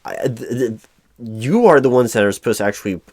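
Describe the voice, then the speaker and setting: A man's voice: mid-range voice, expressive, fairly quick, darkish tone, dry recording. A man playing a flustered, apologetic corporate hiring manager in a one-sided roleplay, stammering and repeating his words as he goes. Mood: exasperated. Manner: frustrated, hesitant. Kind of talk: improvised audio roleplay, one side of a conversation.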